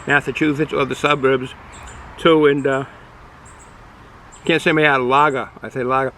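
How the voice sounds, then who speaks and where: A middle-aged man with a Boston accent, casually reviewing a beer outdoors, rambling and halting with many fillers. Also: medium pitch, little pitch movement, fairly bright tone, slight background noise. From Boston area, United States. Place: outdoors, sun in his eyes.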